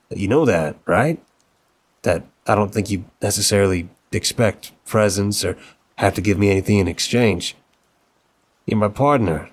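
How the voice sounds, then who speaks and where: Casting: male actor for devoted mafia boss boyfriend, deep voice, courteous and a little hesitant, affectionate, for intimate audio roleplay. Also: natural voice. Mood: amused, earnest. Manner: polite, earnest, hesitant with stammers and soft chuckles.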